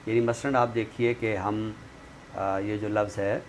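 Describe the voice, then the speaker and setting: A man's voice: lowish voice, flat delivery, measured pace. A man explaining things in a didactic, conversational way, often checking that the listener follows. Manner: didactic, checks understanding with tag phrases. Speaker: literary expert guest. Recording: clearly noisy room recording.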